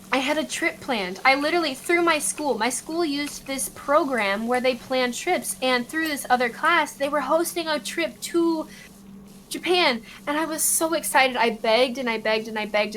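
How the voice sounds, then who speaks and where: A woman's voice: sits high, measured pace, a bit bright, slight background noise. A woman in her twenties chatting casually, explaining things and laughing easily. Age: 20s. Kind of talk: casual chat.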